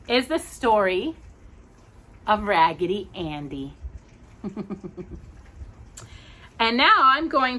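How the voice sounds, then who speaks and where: A woman reading a storybook aloud for children, expressive and gentle, with chatty asides. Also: mid-range voice.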